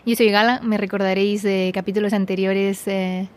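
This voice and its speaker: A woman guesting on a podcast in casual chat, talking quickly in bursts and trailing off softly. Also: medium pitch, little pitch movement.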